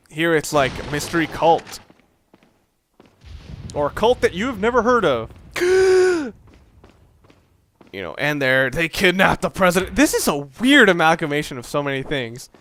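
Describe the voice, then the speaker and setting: A man's voice: fairly high pitch, a bit bright. A young man giving casual, rambling gameplay commentary, swearing often and poking fun at himself. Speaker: let's play commentator.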